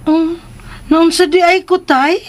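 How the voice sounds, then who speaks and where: Slow, high-voiced simple mother. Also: steady tone. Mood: giggly, playful. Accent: Cebuano. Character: simple, giggly, stubborn mother of a grown daughter. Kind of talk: radio drama acting.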